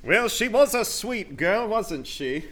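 A man's voice: high voice, sing-song, slow, bright, forceful tone, a little hiss or hum, full character voice. A man playing a smug, randy young prince in a comedic radio play, speaking with pompous, mock-regal formality.

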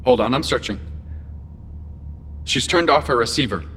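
An actor voicing an obliging male computer that watches over a space colony, calm and matter-of-fact, in an audio drama. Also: medium pitch, moderate pace, slight background noise, lightly acted. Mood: calm, compliant.

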